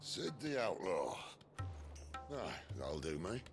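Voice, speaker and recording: An actor playing a wry, defiant middle-aged outlaw leader in a video game, with a gravelly, theatrical drawl. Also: male voice, sits low, big pitch swings, slow pace, exaggerated voice. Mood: jaunty, determined. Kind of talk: video game cutscene acting. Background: music.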